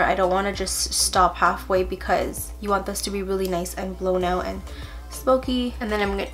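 A young woman with a stuffy cold talks casually through a makeup tutorial in her bedroom, chatty and full of fillers, softer when focused on applying makeup. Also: medium pitch. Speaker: beauty vlogger. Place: bedroom at home.

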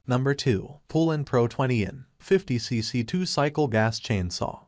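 A man's voice: medium pitch, no echo, clean studio sound. A man narrating a scripted product review voice-over, reading evenly from a script.

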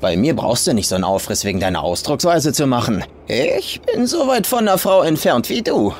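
A man's voice: medium pitch, wildly swooping pitch, a little fast, slight background noise, voice pushed up. A man voicing a burly, crude, bossy old farm woman who talks in brash, clipped colloquial phrases in an audio horror story. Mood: brash, amused.

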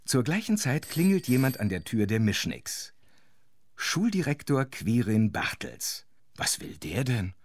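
A man's voice: mid-range voice, sing-song, measured pace, no echo, clean studio sound. A man narrating a scripted children's story, with playful, conspiratorial asides to the listener.

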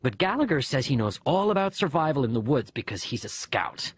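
A man reading a first-person children's story as an audiobook narrator, with a husky voice. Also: mid-range voice, very animated, brisk. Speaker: audiobook reader.

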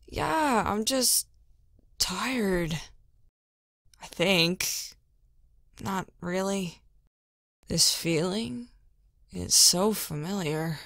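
A woman acting a loyal, weary young woman in an audio drama, with a husky voice and music underneath. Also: medium pitch, lively intonation, very slow, pauses often, a bit bright, no room sound, studio quality, exaggerated voice. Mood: weary, troubled.

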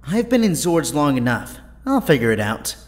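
A man's voice: a little high, big pitch swings, normal speed. A man playing a brainy, earnest young hero in an audio drama, with precise speech. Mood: resolute. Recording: long hall reverb.

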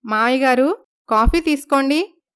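A woman's voice: high-pitched, unhurried, pitched above the performer's own voice. A woman voicing a meek, respectful young new bride in a storybook. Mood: polite. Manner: soft, respectful, obedient.

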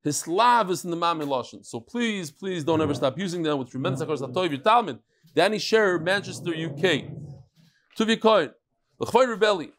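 A middle-aged man teaching a daily Talmud class, reading emails aloud with lively asides to the room and Hebrew and Yiddish terms. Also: on the high side, a little fast. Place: large study hall with wood paneling.

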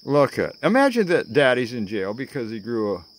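An American man in his sixties giving a theatrical spoken-word political rant to camera, with dramatic pauses and wry asides. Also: mid-range voice, big pitch swings, slight background noise, low-quality recording. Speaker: vlogger. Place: outdoors among greenery. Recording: outdoor ambience with chirping insects.

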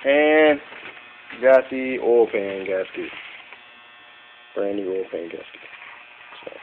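A man's voice: fairly low pitch, expressive, takes it slowly. A man talking casually and plainly as he shows engine parts, like an amateur mechanic filming on a phone in his garage. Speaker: amateur car mechanic vlogger. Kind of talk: casual show-and-tell narration of engine parts. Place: cluttered garage workshop. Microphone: handheld phone behind the camera. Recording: tinny phone-like sound with electrical hum.

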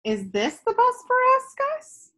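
An eager little girl from a storybook, as a woman reader puts her on. Her voice is high and swoops upward in sing-song questions, full of hopeful excitement. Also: unhurried, full character voice. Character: eager little girl waiting for the school bus.

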